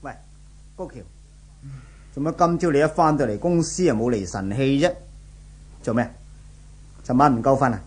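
An actor playing a friendly, inquisitive, breezily reassuring office colleague in a 1970s radio drama, with a Hong Kong Cantonese accent. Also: male voice, medium pitch, moderate pace, long pauses, a little mellow, slight background noise, natural voice. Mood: teasing.

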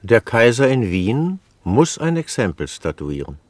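Ein Schauspieler spielt in einem deutschen Hörspiel eine strenge Stimme des Gesetzes, förmlich und nachdrücklich. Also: male voice, medium pitch, sing-song, a little slow, no room sound. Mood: stern. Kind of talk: German radio play acting.

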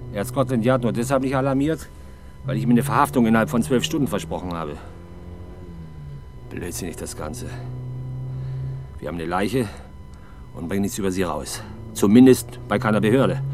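A man's voice: lowish voice, brisk, pauses often, over music, natural voice. An actor playing a sardonic, exasperated male police detective in a 1970s German radio crime drama, with dry, sarcastic delivery. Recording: 1970s radio production with faint background noise.

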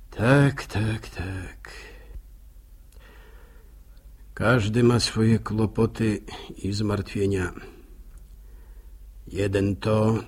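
Husky, folksy village storyteller. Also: male voice, on the low side. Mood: musing. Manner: folksy, reflective, proverb-laden rambling. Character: folksy village man narrator, musing, neighbourly, plain-spoken. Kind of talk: radio drama monologue in rustic storytelling.

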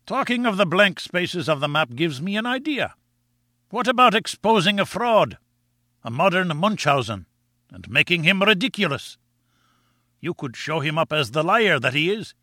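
Guy doing a crabbed but kindly old scottish news editor, pitched up, bright, audiobook style. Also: big pitch swings, normal speed, no echo, studio quality, full character voice. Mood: musing, encouraging. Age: older. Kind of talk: audiobook character dialogue.

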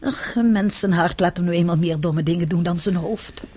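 An actress playing a prim, disapproving, unworldly spinster aunt in a radio play, speaking formally and huskily. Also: female voice, fairly low pitch, normal speed, dark tone, slightly rough. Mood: prim regret. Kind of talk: Dutch radio play acting.

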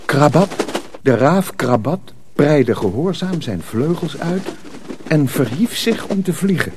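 A man narrating a dramatised story as a scripted, dramatic storyteller, with a husky voice. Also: a little high, lively intonation, slow pace, noisy background.

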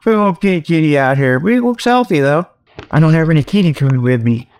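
A man hosting a comedy show, giving a rambling, folksy monologue full of mock sales pitches. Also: expressive, fairly quick, a little mellow. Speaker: host of a comedy talk show.

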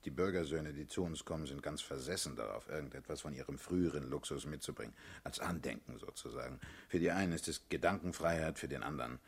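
Radio drama lead: brusque, paternal political boss, world-weary, very deep rough husky voice. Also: male voice, quick pace, hardly any pauses, heavily acted. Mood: sardonic. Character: world-weary, commanding male party leader, blunt and sardonic. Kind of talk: German radio drama acting.

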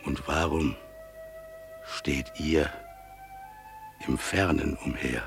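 An actor playing a devout father in a radio play, praising God's creation in solemn, exalted declamation with drawn-out emphatic phrases. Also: rumbling low, creaky, soft background music, full character voice. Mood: fervent exhortation.

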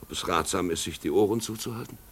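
An actor playing an urbane, wry and gently taunting confidant of an aristocrat in a 1950s German radio drama. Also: male voice, low voice, quick pace, breathy edge, full character voice. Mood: dry, skeptical.

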